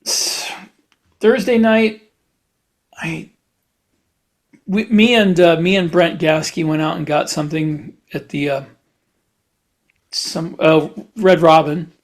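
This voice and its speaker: A man with a fairly high, gravelly voice talks casually on a podcast. He rambles in a halting way, often doubling back on his words as he goes. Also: steady tone. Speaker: podcast host, writer. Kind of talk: casual chat.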